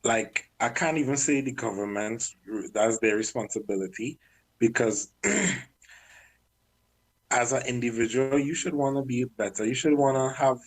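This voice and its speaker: A husky, slightly breathy man speaks in a relaxed, candid interview tone. He manages artists and keeps clearing his throat as he goes. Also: mid-range voice, moderate pace, low-quality recording. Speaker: music manager. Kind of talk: casual interview talk.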